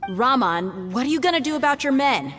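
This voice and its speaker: A wry young traveler. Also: female voice, high-pitched, lively intonation, fairly quick. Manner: wry, sardonic. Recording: game audio with reverb and faint music.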